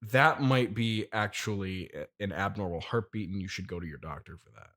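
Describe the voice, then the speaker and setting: A man's voice: low-pitched, normal speed, fairly bright tone. A man hosting an improvised comedy interview as the straight man, asking probing, incredulous questions. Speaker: interviewer, podcast host.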